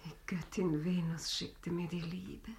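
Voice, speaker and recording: Proud, slow-spoken actress wife. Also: female voice, fairly low pitch, level tone, a little mellow, a little hiss or hum. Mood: torn, breathy. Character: proud actress wife, tempted yet resisting. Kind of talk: German radio play acting.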